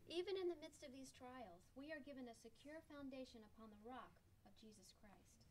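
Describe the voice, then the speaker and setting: A woman reads a scripted devotional text on a church stage. Her voice sits fairly high, and there is a faint rumbling noise in the recording. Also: animated, brisk, darkish tone. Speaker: reader in church readers theater.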